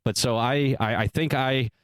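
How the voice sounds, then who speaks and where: Podcast co-host, male, hesitant and deliberate, clean resonant tone, dead-dry booth. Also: medium pitch, steady tone, clean tone, dry recording. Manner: hesitant, weighing both sides with many restarts. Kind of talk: casual discussion.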